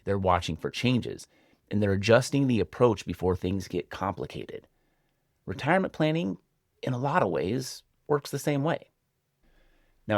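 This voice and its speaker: An American man working as a financial advisor and show host, explaining things to viewers in a measured, reflective way with rhetorical questions. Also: deep voice, dry recording, studio quality. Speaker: financial advisor hosting a retirement planning show. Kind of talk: explanatory advice talk to camera.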